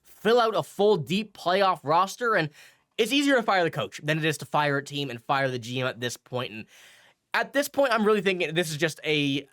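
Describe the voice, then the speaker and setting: Young male sports talker, slightly high voice, stuffy with a cold, rambling, dry close sound. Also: fairly bright tone, dry recording. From Newfoundland, Canada. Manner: rambling, restarts often with fillers. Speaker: sports news host. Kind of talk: casual commentary.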